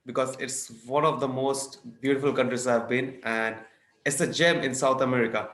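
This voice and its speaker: A man's voice: mid-range voice, fairly even intonation, normal speed, a bit bright, echoey room. A man in his twenties from eastern India, a native Bengali speaker, chatting as a podcast guest in an enthusiastic, chatty way. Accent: Indian English. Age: 20s. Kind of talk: casual interview chat.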